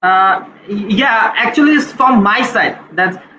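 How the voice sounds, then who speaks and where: A man's voice: high voice, measured pace, pushed and bright, roomy sound, dull audio, slight background noise. A man asking a tutor questions on a video call, hesitant and polite. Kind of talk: online panel talk.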